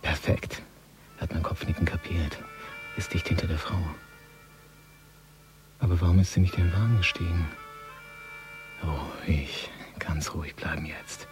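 An actor playing a tense, cynical gangster in a radio drama monologue, muttering in short clipped phrases that often sink toward a whisper. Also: male voice, low-pitched, steady tone, a little slow, long pauses. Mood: tense, hushed. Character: tense, cynical male Moscow gangster watching a handover. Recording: studio radio play with prominent music. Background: music.

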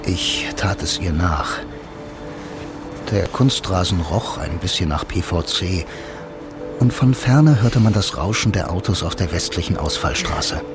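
A low-voiced lonely blind man with a wry, laconic film noir manner. He tells his story in the first person, like a hard-boiled dreamer in a radio play, with music playing behind him. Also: measured pace. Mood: wry, reflective. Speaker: narrator and lead actor.

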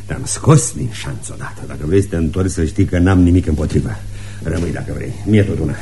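An actor in a radio play playing a rude, taunting, drunken husband with a coarse, belligerent manner. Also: male voice, deep voice, warm tone. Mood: belligerent, impatient. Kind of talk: radio theatre acting. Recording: roomy, hissy radio play recording.

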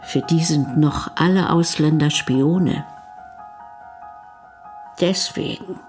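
A woman's voice: sits low, takes it slowly, darkish tone, soft background music. A woman playing a candid older retired wife in a radio documentary play, plain-spoken and conversational. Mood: wry, resigned. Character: candid older retired wife, a grandmother living between cultures.

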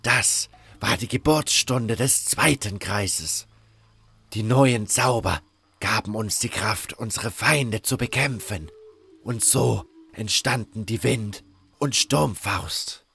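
Man acting a slow, gravelly cult guru. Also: lowish voice, sharp tone, no room sound, heavily acted. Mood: solemn, devout. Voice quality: gravelly, husky. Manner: measured, solemn. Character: solemn cult guru preaching his faith. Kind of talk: fantasy audio drama monologue, sermon-like.

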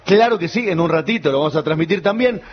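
High-voiced Argentine man on live radio. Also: expressive, fast talker. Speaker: radio host. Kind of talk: live festival broadcast commentary. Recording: dull broadcast sound with clear noise.

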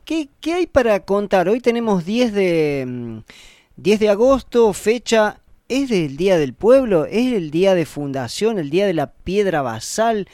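A man's voice: high-pitched, sing-song, a little slow, darkish tone, no echo, clean studio sound. A man with an Argentine accent hosting a radio interview, asking short prompting questions. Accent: Argentine Spanish. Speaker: radio host.

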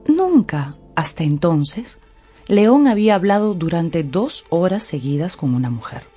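A woman giving scripted, expressive narration for a radio novel. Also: medium pitch. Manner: expressive storytelling. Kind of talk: scripted dramatized audiobook narration.

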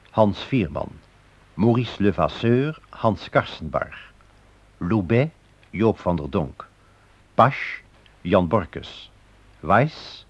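Deep, measured male radio announcer. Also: no room sound. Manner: measured, deliberate. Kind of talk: formal scripted credits reading. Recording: dull old radio recording, very dry.

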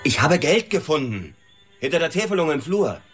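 An actor playing an agitated, suspicious husband in radio drama dialogue. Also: male voice, a little high, very animated, moderate pace, husky, soft background music. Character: agitated, suspicious husband questioning his wife.

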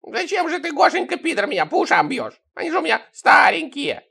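A man's voice: high voice, huge pitch swings, moderate pace, creaky, sharp tone, breathy edge, voice pushed up, exaggerated voice. A man voicing a pitiful old spy in a story, whining tearfully and full of self-pity.

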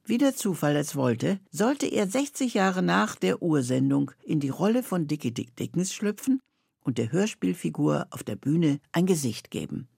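An older woman with a husky voice, presenting a scripted introduction to a radio series. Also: low-pitched, very animated, normal speed, darkish tone, no echo, clean studio sound.

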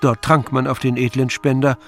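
A man narrates a radio drama from a script. His voice sits at a middle pitch, with music clearly playing behind it. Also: flat delivery, moderate pace.

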